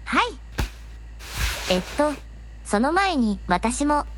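A woman playing a polite, playful young princess in an audio drama, with gentle formal speech. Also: sits high, sing-song, slow, noisy background, full character voice. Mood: sweet, polite. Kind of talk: Japanese audio drama acting.

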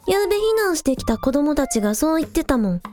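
A high, animated young girl, played by a woman, casual and take-charge. She chats and teases in a breezy audio drama manner, with faint music behind. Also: fast talker. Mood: easygoing, explaining. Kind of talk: Japanese audio drama acting.

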